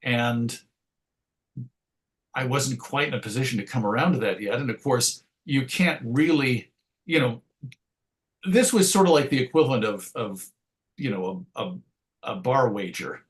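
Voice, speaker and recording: Male interview guest, writer type: conversational, thoughtful and wordy, with hesitant phrasing and a medium-pitched voice. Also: normal speed, pauses often. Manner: reflective, wordy, hesitant phrasing with self-corrections and wry asides. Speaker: interview guest, comics writer and radio host.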